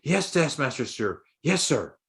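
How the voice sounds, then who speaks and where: A man's voice: mid-range voice, very animated, gravelly, a bit bright, pitched above the performer's own voice, heavily acted. A man voicing a poor young beggar boy in a storybook, earnest and frightened, with a pleading manner. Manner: drawn-out, pleading begging cries.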